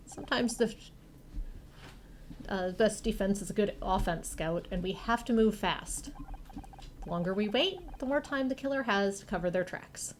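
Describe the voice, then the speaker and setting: A woman's voice: medium pitch, moderate pace, a little hiss or hum, natural voice. A woman voicing a determined, dryly spoken young female murder suspect in a video game.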